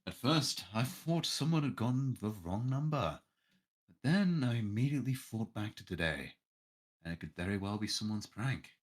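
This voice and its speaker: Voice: mid-range voice, lively intonation, normal speed. A streamer reading a visual novel's narration aloud in a dramatic way.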